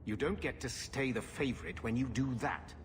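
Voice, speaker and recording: An actor playing a sour, shifty middle-aged uncle who answers curtly. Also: male voice, mid-range voice, moderate pace, close to an everyday voice. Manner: curt, defensive. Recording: game audio with music, reverb.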